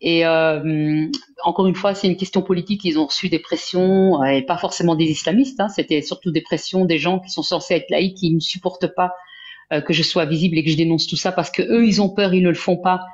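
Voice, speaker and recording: A woman from Belgium, a guest in a live interview, talking in an earnest, halting way with many restarts. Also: on the low side, steady tone, darkish tone. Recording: dull lo-fi sound.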